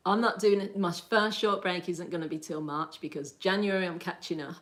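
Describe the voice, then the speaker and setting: A woman with a slightly low-pitched voice talks casually on a livestream. She rambles through her thoughts, reacts to viewer comments and laughs easily. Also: steady tone, fairly quick. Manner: chatty and rambling, laughs often. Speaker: livestream host.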